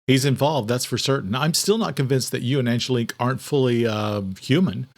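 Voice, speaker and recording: A skeptical male police investigator, acted by a male narrator in an audiobook. He speaks at a medium pitch with a matter-of-fact, probing manner and an air of authority. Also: brisk, vocal fry, lightly acted. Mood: doubtful.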